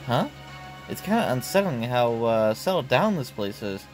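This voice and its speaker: A nervous shut-in young woman from a game stumbles over her words when she gets flustered, voiced by a man. She is easily rattled and rambles when she is excited. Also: mid-range voice, normal speed, faint music behind, pitched below the performer's own voice, natural voice. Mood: chatty. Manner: flustered, stammering.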